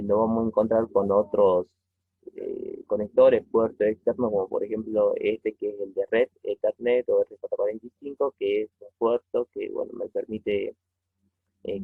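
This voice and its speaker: Through a tinny, phone-like recording, a man with a low, husky voice gives an informal lesson. He explains step by step as a teacher, pausing haltingly and checking in with short questions. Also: dry recording. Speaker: technology teacher. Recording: tinny phone-like narrow-band audio.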